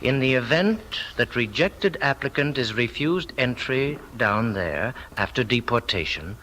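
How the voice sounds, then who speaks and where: A by-the-book inspector, old radio drama, clipped bureaucrat talk, sharp voice, faint hiss. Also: male voice, medium pitch, expressive, a little slow. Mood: careful, official. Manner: clipped recitation of regulations. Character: officious male inspector, a flustered rule-bound bureaucrat. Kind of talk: 1950s satirical radio play acting.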